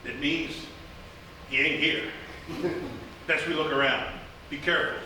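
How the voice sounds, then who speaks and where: A laconic, wry American small-town sheriff in a radio comedy. His voice is slightly high and very forceful, with dry, folksy authority. He sounds far from the mic in an echoing theatre. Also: flat delivery, a little slow. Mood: commanding. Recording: echoey live theatre, far mic, faint noise.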